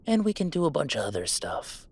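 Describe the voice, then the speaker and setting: A man's voice: a little high, huge pitch swings, a little fast, vocal fry, husky, full character voice. A man playing a goofy young werewolf streamer in an intimate roleplay audio drama, talking in casual, mock-grandiose banter with small hesitations. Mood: tender, earnest. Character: goofy young male werewolf streamer, playful, defiant about family. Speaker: game streamer.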